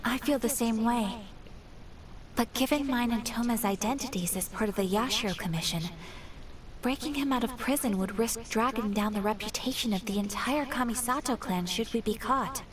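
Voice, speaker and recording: Woman acting young noblewoman, highish voice, formal, measured, grave, courteous, fantasy game, slightly distant sound. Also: lightly acted. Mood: resolute, polite. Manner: formal, measured, precise diction.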